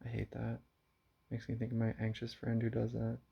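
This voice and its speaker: A young man talking quietly into his camera at home in a confessional vlog, halting and subdued, with frequent sighs. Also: medium pitch, monotone, gravelly, slightly rough. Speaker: vlogger. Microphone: camera mic. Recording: dull lo-fi camera audio.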